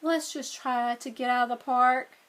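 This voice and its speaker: Woman reading as a cautious, high-voiced young boy. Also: fairly even intonation, normal speed, a bit bright. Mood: wary, puzzled.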